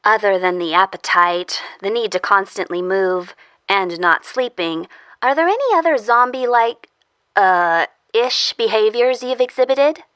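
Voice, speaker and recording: A woman playing a guarded, businesslike facility official in an audio drama, measured and a little hesitant. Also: mid-range voice, animated, a bit bright, clear, resonant tone, dry recording. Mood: clinical probing. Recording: music behind the voice. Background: music.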